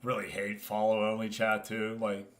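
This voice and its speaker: A man's voice: lowish voice, monotone, moderate pace, a bit bright. A man hosting a livestream, giving casual game commentary and chatting informally with viewers. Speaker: livestream host.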